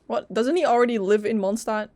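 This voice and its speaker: A woman's voice: fairly high pitch, fairly quick, darkish tone. A woman streaming a game, reacting casually in a playful, candid, chatty way. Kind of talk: casual gameplay reaction and reading along.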